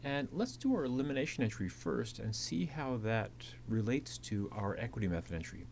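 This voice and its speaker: A man's voice: medium pitch, big pitch swings, normal speed, darkish tone. A man giving a methodical explanatory lecture as an instructor, repeating key points as he goes. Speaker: accounting instructor. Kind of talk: explanatory lecture narration over slides. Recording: clearly audible hiss and hum.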